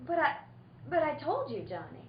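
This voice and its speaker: Young femme fatale played by a woman, coy and scheming, high lively voice, radio detective play, echoey room. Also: moderate pace. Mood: protesting. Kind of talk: live reading of old-time radio detective drama. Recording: roomy, slightly noisy, dull recording.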